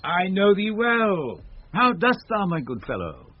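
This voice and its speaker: Lordly nobleman, genial, hugely swooping intonation, highish, radio drama. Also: wildly swooping pitch, measured pace, exaggerated voice. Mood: amused. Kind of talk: 1970s BBC radio Shakespeare acting. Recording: muffled 1970s radio recording.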